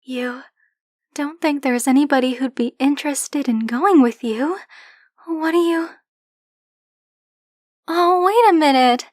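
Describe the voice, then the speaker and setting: A woman's voice: high-pitched, slow pace, long pauses, a little mellow, no echo, studio quality. A woman playing a flirty, possessive dragon-blooded librarian in an ASMR roleplay, speaking to the listener in a teasing, coy, insistent manner. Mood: coy, hopeful. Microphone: close, dry microphone.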